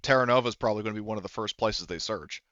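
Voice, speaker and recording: Low, smooth-voiced man running a game. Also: racing, a bit bright, no echo, dull audio. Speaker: game master. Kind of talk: tabletop game narration, casual chat.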